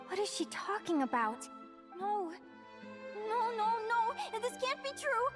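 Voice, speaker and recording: A woman voicing a gentle young female explorer in a video game, with soft, dramatic, halting delivery and a wistful, anguished temper. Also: very high-pitched, very animated, full character voice. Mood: shocked denial. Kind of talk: video game character acting. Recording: background music under the voice.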